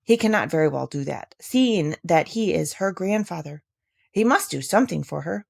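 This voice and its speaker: A woman's voice: on the low side, lively intonation, moderate pace, gravelly, a little mellow, no echo, studio quality, heavily acted. A woman voicing a self-interested, knowing young village woman in a storybook, firm and matter-of-fact. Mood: firm, defensive. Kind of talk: storybook character reading.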